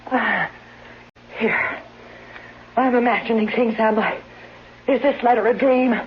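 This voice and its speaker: A woman's voice: a little high, steady tone, a little slow, close to an everyday voice. An actress playing a sickly, suspicious wife who accuses those around her, in an old-time radio drama. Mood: bitter, accusing. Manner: distraught, bitter, accusing. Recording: muffled, hissy, humming old radio recording.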